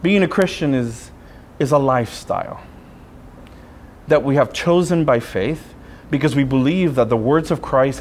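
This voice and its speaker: A man, a Spanish speaker, preaching a sermon as a friar with personal storytelling, in deliberate phrases broken by pauses. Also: mid-range voice, a little mellow, a little hiss or hum. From Puerto Rico. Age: 30s.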